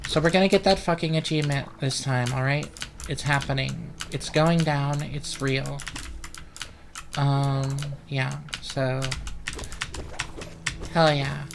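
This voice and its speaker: A let's player giving casual, rambling gaming commentary, profane and deadpan, with keys clicking. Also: mid-range voice, slow pace, creaky, long pauses, background noise. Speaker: let's play host.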